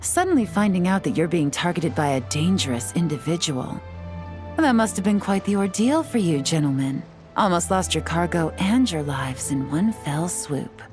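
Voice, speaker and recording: A low, composed female voice from a woman acting as a confident secret agent in a video game. She sounds shrewd and measured, with soft music behind her. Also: expressive, close to an everyday voice. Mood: dryly polite. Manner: smooth, measured, self-assured.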